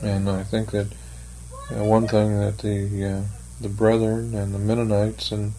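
Older man, gravelly and flat, slow hesitant talker; faint noise on the tape. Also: lowish voice, rough recording. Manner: slow, hesitant, thoughtful, many fillers. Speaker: interviewee, church member. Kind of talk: oral history interview.

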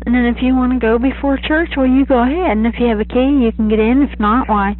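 Hoarse mother, terse, on a tinny phone line. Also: a little high, steady tone, quick pace. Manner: short, evasive answers.

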